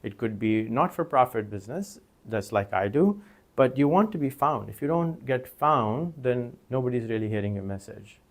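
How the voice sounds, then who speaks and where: A man's voice: mid-range voice, lively intonation, moderate pace. A man whose first language is Urdu, teaching an informal recorded lecture in a conversational way, with many fillers and restarts. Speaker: course instructor.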